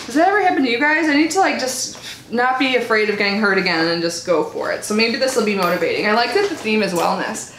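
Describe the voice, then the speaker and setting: A young woman with a slightly high voice talks through an unboxing in a relaxed, rambling way. Her voice is noticeably nasal from allergies. The room is echoey. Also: fast, slight background noise. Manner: chatty and bubbly, lots of likes and ums. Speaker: unboxing vlogger. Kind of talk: casual unboxing chat.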